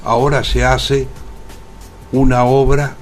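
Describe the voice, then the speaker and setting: An older man with a Rioplatense Argentine accent speaks as a radio interview guest. His pitch is middling and he explains his points deliberately. Faint music plays behind his voice. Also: level tone. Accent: Rioplatense Argentine Spanish. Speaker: interview guest, theatre actor.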